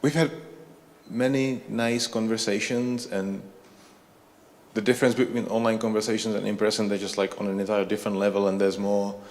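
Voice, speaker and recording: A man from the Republic, sharing reflections at a conference with halting, pause-filled speech. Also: on the low side, flat delivery, a little mellow. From Czech Republic. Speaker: conference attendee. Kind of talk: casual reflection in a group share. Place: conference hall. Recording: reverberant hall.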